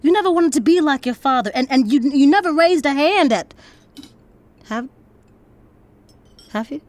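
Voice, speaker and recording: A husky young woman's voice moves freely up and down in pitch. an earnest, troubled and principled daughter in an audio drama. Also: big pitch swings, normal speed. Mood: anguished, pressing.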